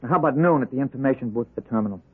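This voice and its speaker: An actor playing a loyal, eager, flustered aide in an old-time radio drama, halting, slangy and earnest. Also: male voice, mid-range voice, husky, natural voice. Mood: uneasy, urgent. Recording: tinny, noisy old radio recording.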